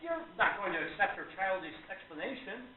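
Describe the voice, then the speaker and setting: A woman's voice: medium pitch, very animated, brisk, close to an everyday voice. A woman playing a scornful, triumphant male magician in a radio play, declamatory and mocking. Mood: exasperated. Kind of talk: stage radio play acting. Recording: tinny, echoey, distant with crowd noise.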